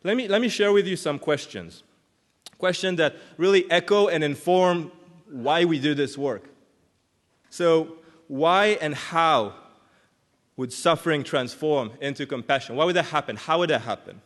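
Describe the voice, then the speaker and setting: A man's voice: a little high, a little slow, a bit bright. A man in his thirties from Israel, speaking English, giving an earnest, reflective conference talk as a clinical psychologist. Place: large conference hall. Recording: long hall reverb.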